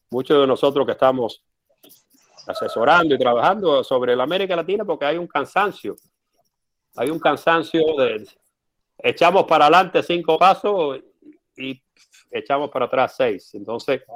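Interview guest, male, second-language speaker, steady explanatory delivery with hesitations. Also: mid-range voice. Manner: measured, frequent "eh" fillers, explanatory. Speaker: interview guest, former US policy adviser. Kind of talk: conversational interview answer.

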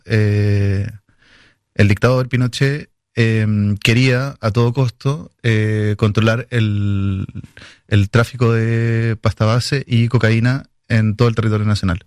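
A man's voice: on the low side, steady tone, slow pace, darkish tone, no echo, clean studio sound. A man, a film director, answering interview questions in a hesitant way with many fillers and restarts.

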